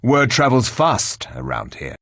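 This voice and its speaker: Locke, a raspy, stern undead hero. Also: male voice, mid-range voice, wildly swooping pitch, unhurried, fairly bright tone, dry recording, studio quality, exaggerated voice. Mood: stern, terse. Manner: stiff, formal speech without contractions. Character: stern, sardonic revenant warrior hero. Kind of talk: fantasy game character acting.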